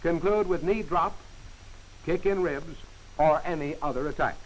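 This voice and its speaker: A man giving scripted instructional narration for a training film, in crisp, clipped commands. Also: a little high, moderate pace, poor audio. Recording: hissy old film soundtrack.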